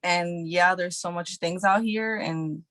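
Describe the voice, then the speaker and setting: A young woman talks in a loose, chatty way, her sentences full of filler likes. Her voice sits low for a woman, and the recording is dry and close with no room sound. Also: takes it slowly, fairly bright tone, no echo. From New York City. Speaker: guest actress. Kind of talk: casual podcast chat.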